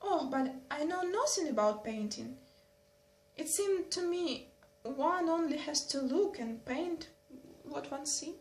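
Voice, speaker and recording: A soft-spoken, good-natured young nobleman, voiced by a woman reader, hesitant and unhurried. Her voice stays high and sounds roomy and echoing. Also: lively intonation, soft background music, full character voice. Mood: gentle. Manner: mild, hesitant, slow. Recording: roomy echo.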